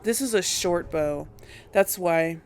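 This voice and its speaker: A woman's voice: on the low side, slow, slight background noise. A woman giving casual gameplay commentary as a host, hesitantly thinking aloud. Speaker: host of a game role-play series.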